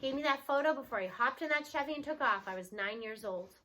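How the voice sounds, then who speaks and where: Folksy storybook grandma, acted by a woman, frank, affectionate, high bright voice, colloquial. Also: lively intonation, quick pace. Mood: rueful, confiding.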